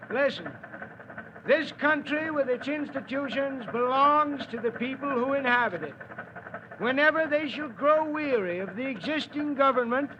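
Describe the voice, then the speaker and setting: Casting: crotchety folksy radical for 1940s radio play, piercingly high, forceful. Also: male voice, heavily acted. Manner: crotchety, combative, colloquial. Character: cantankerous small-town anarchist man, argumentative and folksy. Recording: dull, noisy 1940s radio recording.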